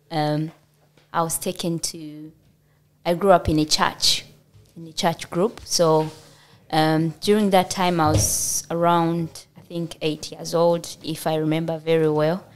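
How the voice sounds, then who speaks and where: A Ugandan woman shares her life story as a podcast guest. She speaks slowly and hesitantly, filling pauses with "um". Her voice sits a little low and stays flat and even. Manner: hesitant, pauses with many fillers. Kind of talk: personal storytelling in an interview.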